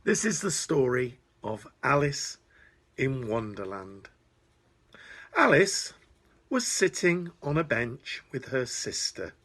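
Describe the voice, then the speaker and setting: A man reading a children's bedtime story with an expressive, deliberate delivery. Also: on the high side, husky.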